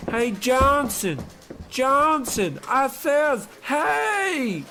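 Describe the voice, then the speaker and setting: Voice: high-pitched, sing-song, extremely slow, sharp tone, full character voice. An actor playing a brash, jeering office bully who mocks co-workers in an old-time radio comedy style. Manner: jeering, exclamatory. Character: brash, mocking, bullying young postal co-worker.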